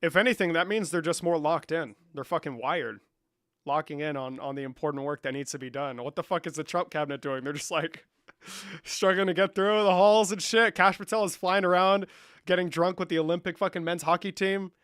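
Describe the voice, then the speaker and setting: A man's voice: fairly high pitch, fairly quick, a bit bright, clear, resonant tone, no echo, studio quality. A young man hosting a live debate stream, brash and mocking, swearing often. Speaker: livestream debate host. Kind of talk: casual livestream debate.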